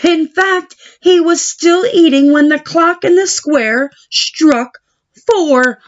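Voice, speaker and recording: Lady reading a kids book out loud, very expressive, slow, slightly muffled audio. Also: very high-pitched. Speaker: storyteller reading aloud. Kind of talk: expressive children's picture book narration.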